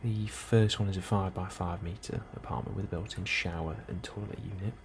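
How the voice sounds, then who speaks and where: A man with a very low, creaky voice speaks softly in an ASMR role-play as a colony clerk. His delivery is hushed and unhurried, at times slipping toward a whisper. Steady ambient noise is clearly audible behind him. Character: calm, helpful male colony immigration officer.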